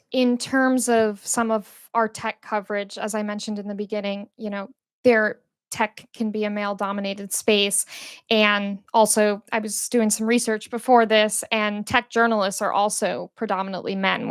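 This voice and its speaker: A 25-year-old woman with a high voice speaks on a conference panel. She explains her points eagerly, with some fillers. The recording is dry and close. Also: fairly bright tone, no room sound. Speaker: panelist, tech reporter. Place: conference room.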